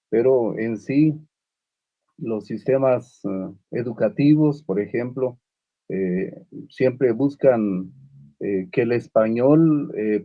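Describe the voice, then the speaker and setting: A man from Guatemala whose first language is Uspanteko, speaking on a literary panel with many pauses, fillers and restarts. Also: medium pitch, no echo, dull audio. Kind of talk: unscripted panel talk.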